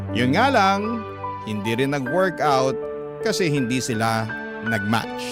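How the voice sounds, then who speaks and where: A man with a Filipino accent narrating a first-person story as a radio storyteller. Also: medium pitch. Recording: prominent music bed behind narration. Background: loud music.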